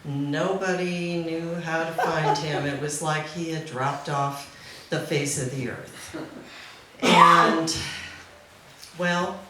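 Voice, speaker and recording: A woman's voice: low-pitched, steady tone, slow. A woman speaking at a memorial gathering, telling an informal anecdote in an amused way. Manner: amused, often laughing as she talks. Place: back room at a live gathering. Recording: very echoey room, faint noise.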